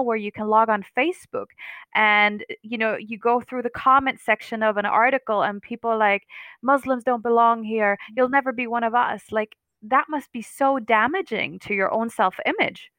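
A woman poet speaking in an interview, earnest and reflective, with quick restarts. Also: a little high, bright, forceful tone, dry recording. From Denmark. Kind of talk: conversational interview.

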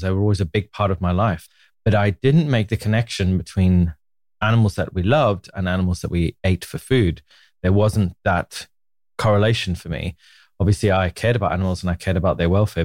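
A man's voice: sits low, a little mellow, no room sound, clean studio sound. A man in his forties from Zimbabwe, a podcast guest telling his life story in a candid, reflective way and laughing easily. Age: 40s. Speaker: podcast guest, media co-founder. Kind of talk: relaxed interview storytelling.

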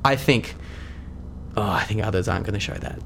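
Low gravelly man with a cold. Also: brisk, fairly bright tone, a little hiss or hum. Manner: earnest, rambling, many restarts. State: has a cold, voice deeper than usual. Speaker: podcast co-host. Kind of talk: reflective casual chat.

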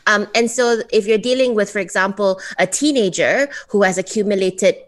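A woman speaks as a counseling guest, her voice sitting at an ordinary middle pitch. She explains things patiently and thoughtfully, leaning on fillers like "um" and "you know" as she works through each point. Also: normal speed. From Malaysia. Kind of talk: conversational interview answers.

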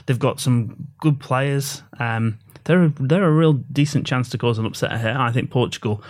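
A man's voice: mid-range voice, moderate pace, darkish tone. A man hosting a casual sports podcast in a medium voice. He keeps the chat light and jokey and steers it from one topic to the next. He talks loosely and easily. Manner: jokey, leads the talk and hands over to guests. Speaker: podcast host.

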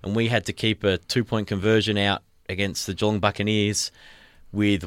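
An Australian man hosting a sports podcast in a studio, chatting casually with a relaxed, rambling delivery. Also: fairly low pitch, normal speed, smooth, dry recording. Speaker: podcast host. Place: radio studio. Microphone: studio mic.

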